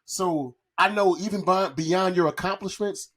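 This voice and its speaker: A man's voice: high voice, measured pace, fairly bright tone. Candid forty-something man, casual podcast talk. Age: 40s. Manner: candid, slangy, rambling.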